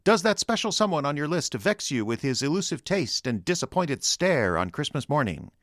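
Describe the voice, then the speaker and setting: An actor playing a chipper old-time radio announcer, delivering sponsor pitches in an emphatic, mock-earnest sales style. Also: male voice, very animated, normal speed, fairly bright tone, no echo, studio quality. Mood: upbeat, selling.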